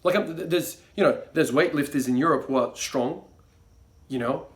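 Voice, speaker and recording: A man's voice: on the high side, normal speed. A young man, talking to camera about his views. He rambles loosely, with plenty of fillers, false starts and trailing asides. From Croatia. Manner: rambling, many fillers and restarts. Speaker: fitness vlogger. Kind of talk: casual monologue to camera.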